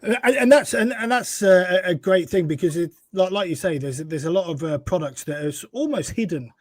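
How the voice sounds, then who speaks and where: A man's voice: high-pitched, animated, fairly quick, dry recording, studio quality. A man hosting a podcast, asking questions in a casual way and often stumbling over and repeating his words. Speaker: podcast host. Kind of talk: casual interview chat.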